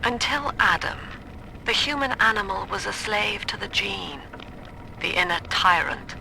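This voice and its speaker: An actress playing a cerebral utopian cult leader, delivering measured, lofty philosophy in a clinical, solemn way for a game audio log. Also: female voice, mid-range voice, animated, vocal fry. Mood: lofty, resolute. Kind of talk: philosophical audio-diary monologue. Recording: noisy, hissy vintage audio log.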